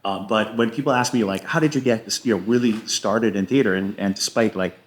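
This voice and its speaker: A deep-voiced man is a guest in a casual interview. He tells personal stories haltingly, doubling back on words as he goes.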